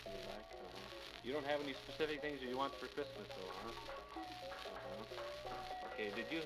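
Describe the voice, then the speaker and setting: A man working as a live radio announcer in the 1950s, coaxing children in a halting, folksy way and repeating their answers. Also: mid-range voice, loud music behind the voice, poor audio. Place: toy store live broadcast.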